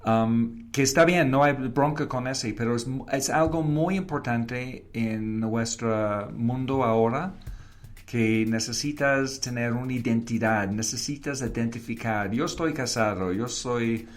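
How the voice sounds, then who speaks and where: A man from Canada, a native English speaker with a foreign accent in Spanish, answering interview questions haltingly and slipping in English words. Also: medium pitch. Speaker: interview guest, advertising creative and professor.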